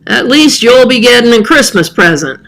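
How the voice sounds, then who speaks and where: A woman voicing a gentle, resigned old man in a children's storybook, speaking patiently with some mumbling. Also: fairly high pitch, expressive, normal speed, gravelly, voice pushed up. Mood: reassuring.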